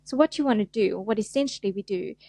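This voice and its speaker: A woman's voice: mid-range voice, quick pace, creaky, warm tone, no room sound. Chatty South African woman, dry close mic. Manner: chatty, self-deprecating, acts out little voices. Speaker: guest, fascia therapist. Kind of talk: casual podcast interview.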